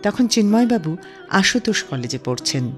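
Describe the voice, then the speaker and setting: A woman's voice: medium pitch, very animated, fairly quick, soft background music. A woman hosting a radio show, narrating a scripted biographical story. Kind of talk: scripted storytelling narration about a singer's life.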